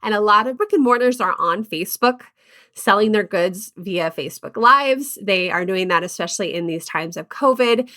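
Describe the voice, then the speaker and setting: A woman's voice: medium pitch, a bit bright. A woman hosting a podcast, talking candidly and upbeat in a conversational way. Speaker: podcast host. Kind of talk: podcast intro and interview.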